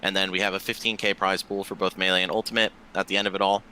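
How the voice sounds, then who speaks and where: A young man in his twenties with a very low voice and a bright, forceful edge. He talks loosely and at length as a podcast guest, scattering fillers and the odd curse, over a faint ambient hiss. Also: little pitch movement, fast. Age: 20s. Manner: loose and talkative, heavy use of filler words and swearing. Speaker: podcast guest, esports tournament organizer. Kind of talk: casual podcast chat.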